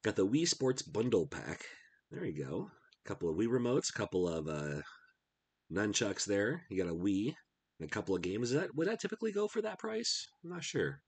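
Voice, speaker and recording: A middle-aged man talks to camera from his desk at home. His voice is husky and stuffed-up from a cold, and it sits low but swings widely. He muses aloud in a chatty, rambling way while browsing. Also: big pitch swings, normal speed. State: congested, voice shot from a cold. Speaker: retro game channel host. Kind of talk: casual screen-share commentary.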